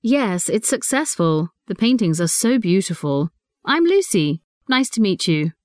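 Woman, lively pitch, smooth clear voice, dry studio sound, textbook reading. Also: unhurried, no room sound. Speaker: voice actor in a listening test. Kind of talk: clear, deliberate scripted dialogue reading.

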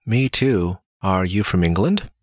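A man reading lines of a scripted dialogue slowly and carefully, as in a listening test. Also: low-pitched, dry recording, studio quality.

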